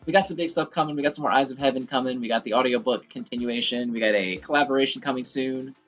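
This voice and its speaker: Male gaming creator, mid-pitched voice, casual rambling fan commentary with mild swearing, game music underneath. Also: fast, a bit bright. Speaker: gaming video creator and host. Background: video game music.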